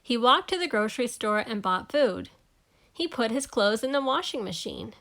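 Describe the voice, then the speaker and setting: Female storybook reader, slightly high voice, expressive read-aloud narration for children. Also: normal speed.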